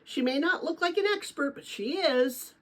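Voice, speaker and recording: An older woman voicing a brisk, businesslike male works director in a storybook, giving direct instructions. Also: high voice, voice pushed up, natural voice.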